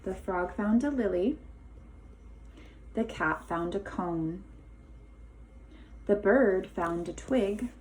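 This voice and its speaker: A woman's voice: mid-range voice, slow, pauses often, darkish tone, a little hiss or hum, low-quality recording. A woman reading a storybook aloud to young children as a teacher, drawing out key words for emphasis.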